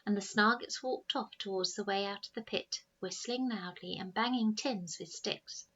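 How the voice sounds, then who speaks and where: A woman reading a children's story aloud in an expressive storytelling style, like a teacher reading to pupils. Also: medium pitch, normal speed, husky, highs cut off.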